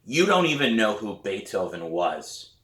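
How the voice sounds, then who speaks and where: A man's voice: lowish voice, sing-song, unhurried, fairly bright tone, echoey room. Man reading as an exasperated young teen sibling. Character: determined, exasperated older sibling, music-obsessed young teen drummer.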